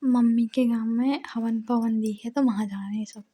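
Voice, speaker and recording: A woman's voice: on the high side, steady tone, unhurried, dark tone, husky. A woman chatting casually on a phone call, giving short, stubbornly teasing replies. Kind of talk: casual intimate phone chat. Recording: faintly noisy phone call recording.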